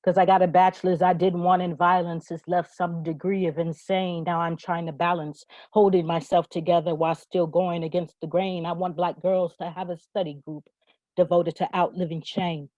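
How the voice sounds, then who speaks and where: Woman, spoken word poet, soft dark timbre, cadenced emphatic delivery, roomy dull recording. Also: on the low side, little pitch movement, normal speed. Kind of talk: spoken word poetry recital with rhythmic rhyming delivery.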